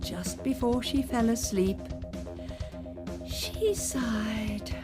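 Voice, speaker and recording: A woman narrating a children's story in an expressive, measured storytelling style. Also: a little high, music in the background.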